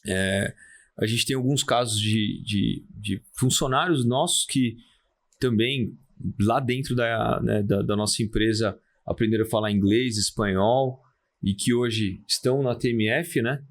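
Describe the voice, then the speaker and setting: Calm Brazilian man, halting speech. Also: lowish voice. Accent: Brazilian Portuguese. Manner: calm, halting, many restarts and "né?" tags. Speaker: podcast guest, entrepreneur. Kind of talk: casual interview talk.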